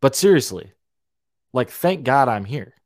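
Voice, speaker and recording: A man's voice: medium pitch, very animated, a little slow, no room sound. Young guy ranting hard on his podcast, swears a lot, trips over his words, dry close sound. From Romania. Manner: heated, ranting, repeats and restarts words, swears freely. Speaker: podcast host. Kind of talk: opinionated monologue.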